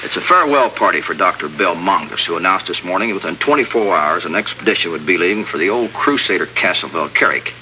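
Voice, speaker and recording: An actor playing a steady American lieutenant in a 1950s radio drama, crisp and matter-of-fact. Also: male voice, sits low, fast talker, hardly any pauses, a little hiss or hum, exaggerated voice, low-quality recording. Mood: earnest, briefing. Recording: old radio recording, faint music.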